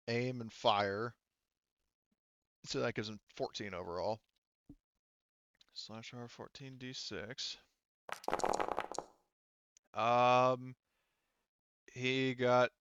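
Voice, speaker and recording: A man with a clear, forward voice runs a tabletop game. He explains rules casually, pausing as he checks them. The sound is close and dry, a little muffled like voice chat. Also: medium pitch, pushed and bright, dry recording. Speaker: game master of a tabletop role-playing game.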